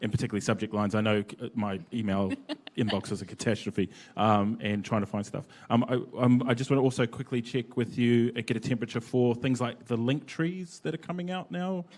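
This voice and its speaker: A New Zealand man chairs a conference panel, loose and conversational, filling his pauses with ums and uhs. His voice sits at an ordinary middle pitch. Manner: relaxed, chatty, filler-heavy. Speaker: panel moderator. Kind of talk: live panel discussion. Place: conference venue.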